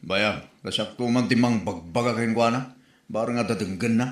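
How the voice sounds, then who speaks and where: A man's voice: on the low side, moderate pace, echoey room, lightly acted. A man playing a grumbling husband in an Ilocano Filipino comedy audio drama.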